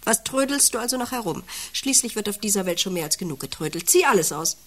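An actress playing a volatile, possessive wife who coos and commands in a satirical radio drama. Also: female voice, mid-range voice, animated, quick pace, a bit bright. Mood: bossy, cooing. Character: volatile, possessive wife, by turns cooing, bossy and desperate.

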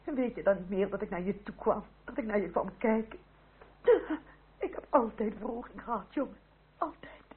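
A woman's voice: mid-range voice, moderate pace, slightly rough. An actress playing a timid, anguished noble mother in an old radio melodrama, faltering and pleading. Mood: hurt, pleading. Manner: faltering, pleading, breathless exclamations. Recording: old radio recording, phone-like, faint noise.

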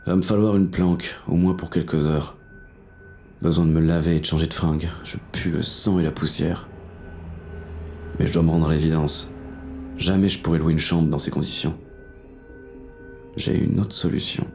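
Very deep hard-boiled fugitive played by a man, music behind. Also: steady tone, normal speed, long pauses, a little mellow. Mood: grim, cynical. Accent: French. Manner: crude, slangy, terse. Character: hard-boiled, cynical, wounded male fugitive with a gun. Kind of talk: first-person noir audio fiction narration.

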